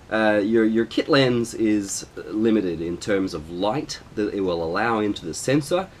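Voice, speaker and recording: A man with a cold explaining camera lenses in a casual, relaxed tutorial, with plenty of ums and uhs. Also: sits low, slight background noise. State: nasal from a cold. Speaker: photography vlogger.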